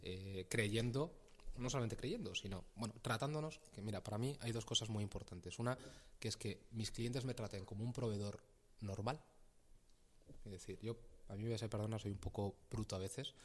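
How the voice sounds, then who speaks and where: A man's voice: lowish voice, moderate pace, a little hiss or hum. A middle-aged man from Castilla-La Mancha, a company regional manager, explaining his firm in a casual interview and often repeating words as he searches for them. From Castilla-La Mancha, Spain. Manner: hesitant, repeats words often, laughs easily.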